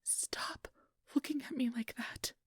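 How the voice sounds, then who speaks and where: Casting: woman to play possessive female fae for ASMR roleplay, imperious, breathy and whispery, close dry sound. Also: high-pitched, fairly even intonation, slow pace, no echo, heavily acted. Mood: rattled, pleading. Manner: whispery, commanding, swinging to pleading outbursts. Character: possessive, manipulative female fairy, imperious and desperate.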